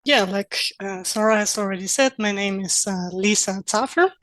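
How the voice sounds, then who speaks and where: A young woman from Austria, German her first language, answers interview questions in English. She explains things in an earnest, methodical way, at a middle pitch. The sound is dry and close, with no room around her voice. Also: moderate pace, a bit bright, no echo. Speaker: podcast guest, localization project manager.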